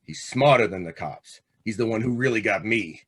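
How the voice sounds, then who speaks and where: Man playing a low-voiced, hard-bitten condemned gangster. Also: animated, normal speed, fairly bright tone, husky. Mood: scheming, coaxing. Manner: tough, slangy, clipped commands. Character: hard, scheming condemned gangster, vengeful manipulative older brother. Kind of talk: old-time radio drama acting.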